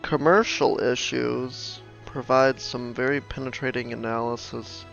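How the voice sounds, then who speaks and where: Casual male gameplay commentator, dry and offhand, with vocal fry, faint music behind. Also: mid-range voice, steady tone, measured pace, a bit bright. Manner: offhand, dryly judging. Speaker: gamer reacting to game dialogue. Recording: slightly dull mic, faint game music.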